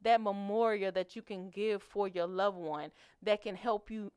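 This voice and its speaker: A woman with a Southern US accent, a guest author and film producer, talking in a lively interview with emphatic, laughing faith-filled declarations. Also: medium pitch, a little slow, smooth, no room sound, studio quality. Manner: animated, laughing, emphatic church-style declarations.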